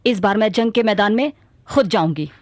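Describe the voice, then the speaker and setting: A woman's voice: mid-range voice, expressive, brisk, dry recording, natural voice. An actress playing a proud, determined warrior queen in a radio drama, with a regal, resolute delivery. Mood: resolute, commanding. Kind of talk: Hindi radio drama acting. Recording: noisy radio drama with battle ambience.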